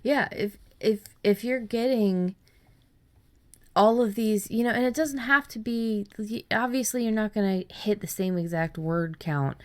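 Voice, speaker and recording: A woman's voice: medium pitch, measured pace, darkish tone. A woman hosting a podcast, talking in a blunt, casual way with free swearing and jokes. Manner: blunt, swears freely, jokey. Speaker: podcast host.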